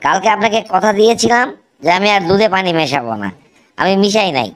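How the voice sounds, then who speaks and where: A cheeky cartoon milkman protests stubbornly, half pleading and half insistent, as voiced by a voice actor. His delivery rises and falls in lively swings. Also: mid-range voice, fast, lightly acted. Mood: defensive. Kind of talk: cartoon comedy dubbing.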